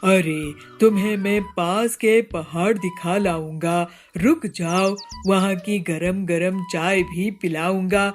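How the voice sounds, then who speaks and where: A woman's voice: on the low side, fairly even intonation, slow, few pauses, faint music behind. A woman voicing a stingy, scheming male cartoon bird who talks in a bossy, self-satisfied, wheedling way. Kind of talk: cartoon voice acting.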